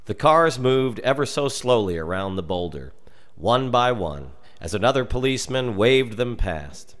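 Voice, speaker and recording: An American man reading a darkly humorous story aloud in an expressive, measured and dramatic storytelling manner. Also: medium pitch. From United States. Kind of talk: expressive scripted narration of a humorous dark tale.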